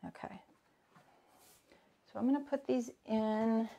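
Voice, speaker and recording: A woman's voice: a little high, steady tone, takes it slowly, creaky, muffled recording, heavy background noise. A woman in her forties teaching a live cooking demo from her home kitchen, chatting and greeting viewers by name as she works. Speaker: cooking instructor.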